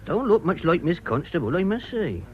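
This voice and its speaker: Cheeky, animated working-class Englishman. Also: high voice, normal speed, a crowd in the background. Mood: wry. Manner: colloquial, blunt, wry. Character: cheeky, grumbling, nosy working-class man, blunt and morbid. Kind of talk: radio drama acting. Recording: dull radio recording with background hubbub.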